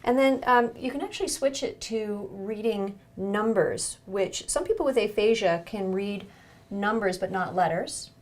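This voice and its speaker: A woman's voice: mid-range voice, a little slow. A woman clinician giving an instructional demonstration of an eye exam, encouraging the patient as she explains each step.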